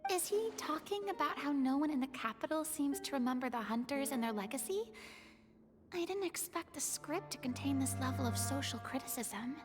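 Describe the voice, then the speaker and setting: A woman's voice: high voice, lively intonation, normal speed, over music. A woman voicing an earnest, curious young woman in a video game, who sometimes mutters her thoughts aloud. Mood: musing. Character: earnest young woman playing along in a role-play.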